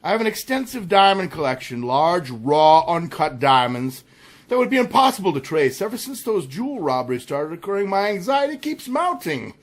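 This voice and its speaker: A man's voice: fairly high pitch, sing-song, moderate pace. An actor playing an anxious, gullible wealthy man in a melodramatic radio mystery. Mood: anxious, fretful. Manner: fretful, melodramatic delivery. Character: anxious, gullible wealthy male diamond collector in therapy. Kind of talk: radio mystery drama acting.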